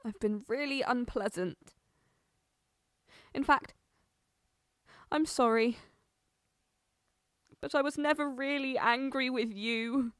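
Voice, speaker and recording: A woman's voice: sits high, slow pace, pauses often, smooth, no echo, studio quality. A woman playing a remorseful, war-weary figure in an audio play. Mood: apologetic, weary.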